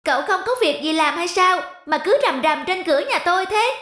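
A woman's voice: very high-pitched, expressive, fairly quick, a bit bright, roomy sound. A woman voicing a grumpy, irritable goldfish who snaps and scolds. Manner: snappish, scolding. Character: grumpy irritable goldfish stuck under ice. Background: music.

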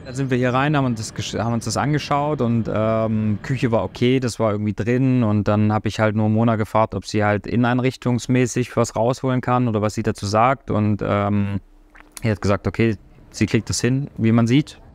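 A man in his thirties, a restaurant owner and chef, speaking in a relaxed, hesitant documentary interview with many fillers, recorded on a lapel mic in a quiet dining room. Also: fairly low pitch, a little fast. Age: 30s.

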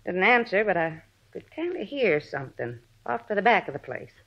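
An actress playing a suspicious, bossy, chatty neighbor in a 1950s radio drama, with brusque, folksy, ungrammatical speech. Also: female voice, mid-range voice, very animated, moderate pace, breathy edge. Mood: chatty, recounting. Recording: muffled old radio recording.

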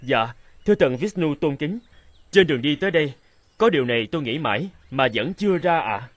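A man's voice: on the high side, animated, a little fast, dry recording. A man voicing a humble, earnest young pilgrim in a children's cartoon, speaking politely and deferentially. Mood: humble, puzzled. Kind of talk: dubbed children's cartoon acting. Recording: prominent music under the voice.

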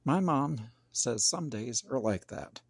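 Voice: medium pitch, lively intonation, slow, vocal fry, breathy edge, no room sound. A storybook narrator reading aloud expressively as a grumpy young boy, with dramatic, emphatic phrasing.

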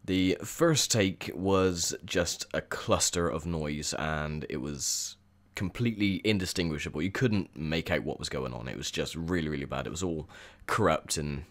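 A young man with a croaky, sore voice, chatting casually through gameplay commentary with lots of ums. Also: a bass voice, normal speed, hardly any pauses. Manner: chatty, rambling with many ums. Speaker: gaming video host.

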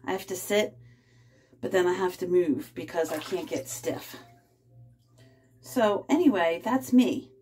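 A woman in her fifties vlogging from her bathtub, talking in a rambling, halting way with many fillers. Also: fairly low pitch, lively intonation, mellow, husky. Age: 50s. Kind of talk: casual personal vlog. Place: small bathroom, in a bathtub. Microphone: phone held close.

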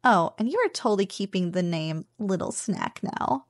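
A woman playing a playful, possessive but affectionate slime girl roommate in an ASMR roleplay, speaking softly and breathily with a teasing manner. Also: mid-range voice, animated, dry recording, natural voice. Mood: caring, relaxed. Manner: playful, teasing, slow and savoring.

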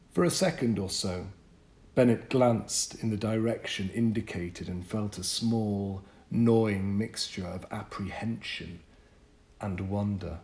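A man reading a literary short story as an audiobook, with measured, deliberate phrasing and pauses. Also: lowish voice, a little mellow. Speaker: audiobook narrator. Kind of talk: scripted literary story narration.

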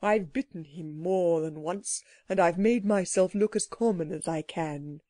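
A woman voicing a shabby, miserable little sand-fairy creature that talks in a soft, tearful, confiding way. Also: on the low side, unhurried, no room sound, clean studio sound. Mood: tearful pleading. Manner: pleading and confiding in a hushed way.

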